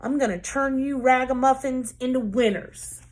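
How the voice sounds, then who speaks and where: A woman reading aloud, voicing a strict woman football coach who barks gruff orders. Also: high-pitched, normal speed, a little mellow, natural voice. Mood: barking. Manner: barking, gruff commands.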